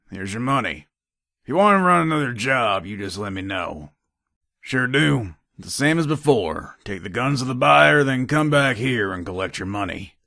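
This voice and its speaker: A man's voice: medium pitch, vocal fry, pushed and bright, no room sound, clean studio sound, exaggerated voice. Man playing a shrewd gun trader, swinging intonation. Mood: businesslike. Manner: casual, hard-bargaining salesman patter. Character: shrewd, businesslike male gun trader and robber. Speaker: voice actor. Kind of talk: video game character dialogue.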